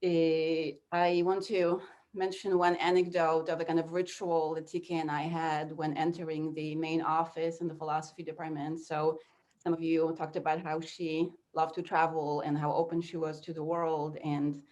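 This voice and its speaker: Low, flat-voiced Polish-native woman. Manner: halting, repeats words, earnest. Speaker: philosophy professor. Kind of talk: memorial speech. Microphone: video call.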